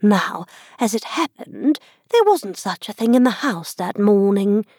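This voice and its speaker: A woman's voice: medium pitch, big pitch swings, a little slow, a little mellow, dry recording, clean studio sound. A woman voicing a vague, rambling old storybook queen, muttering absently as if to herself. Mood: musing. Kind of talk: storybook character reading.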